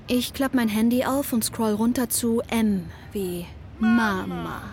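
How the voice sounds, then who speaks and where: Reflective, higher-voiced young narrator. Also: female voice, fairly even intonation, slow, a little mellow, a little hiss or hum. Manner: reflective, literary. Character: introspective daughter narrating her own journey. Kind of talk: first-person radio drama narration.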